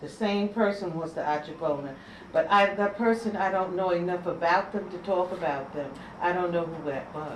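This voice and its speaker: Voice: mid-range voice, normal speed, few pauses. An elder speaking in a group conversation, chatty, interjecting and repeating phrases. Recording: echoey room, distant mic, noise.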